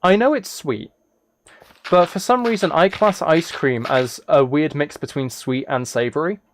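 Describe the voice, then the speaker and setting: A man with a fairly high voice streams live, chatting casually with his viewers. He rambles and muses aloud, often stumbling and restarting a phrase. There is faint background noise, with typing and clicks behind him. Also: normal speed.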